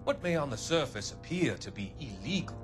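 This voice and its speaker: An actor playing a middle-aged psychiatrist in a video game drama, measured, patronizing and persuasive. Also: male voice, mid-range voice, very animated, music in the background, lightly acted. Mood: patronizing, assured. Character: smooth, patronizing 1940s psychiatrist, calmly evasive and persuasive.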